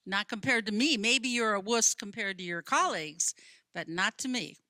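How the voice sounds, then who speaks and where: An actress playing a pensive, reluctant fifty-year-old librarian wife in a radio play, hesitant and protesting. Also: female voice, mid-range voice, normal speed, bright, forceful tone, dry recording, studio quality, natural voice. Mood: defensive, bickering.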